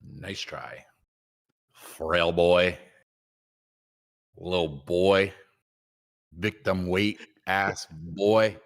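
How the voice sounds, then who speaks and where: A man's voice: low voice, steady tone, drawn-out words, long pauses. A man co-hosting a live trading stream, chatting casually and trash-talking viewers with blunt teasing. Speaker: co-host trader.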